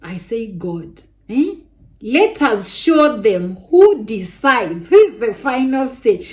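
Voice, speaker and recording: Soft-voiced woman, slow storytelling. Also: a little high. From Kenya. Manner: animated testimony with Kenyan interjections like "eh" and "kai". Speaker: vlogger telling a personal story. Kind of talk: casual storytelling to viewers.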